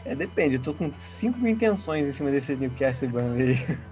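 A man's voice: medium pitch, lively intonation, fairly quick, a little mellow, faint music behind. A man from Rio de Janeiro with a Carioca accent, a guest on a podcast chatting casually and enthusiastically about football. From Rio de Janeiro, Brazil.

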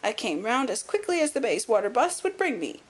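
Woman acting a shrill, querulous little old lord. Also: big pitch swings. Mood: suspicious, demanding. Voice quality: sharp crackle. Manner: quick, intense, indignant. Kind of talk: audiobook character acting.

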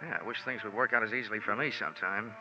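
A man's voice: on the low side, racing, bright, forceful tone. An actor playing a wry, flippant male insurance investigator in 1940s radio detective style, speaking with a sardonic manner. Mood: wry narration. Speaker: lead actor and narrator. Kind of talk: 1940s radio detective acting, wry first-person narration. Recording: dull vintage 1940s radio recording, faint music.

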